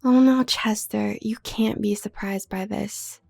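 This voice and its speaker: Woman acting as snobbish werewolf sister, manipulative, patronizing, dark soft tone, vocal fry, audio roleplay. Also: mid-range voice, steady tone, measured pace. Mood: condescending. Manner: condescending, smooth, needling. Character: haughty, manipulative younger werewolf sister of noble family. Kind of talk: scripted audio roleplay drama.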